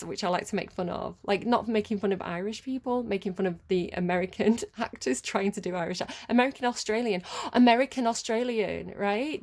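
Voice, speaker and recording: A woman's voice: medium pitch, a little mellow. A British woman giving a casual tarot reading, chatty and rambling, laughing often. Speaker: tarot reader.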